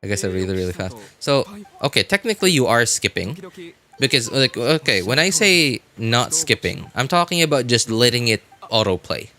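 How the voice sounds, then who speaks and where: A man's voice: medium pitch, sing-song, normal speed, sharp tone. A man live-streaming a game with casual commentary, chatting to viewers in a rambling way, often repeating and rephrasing himself.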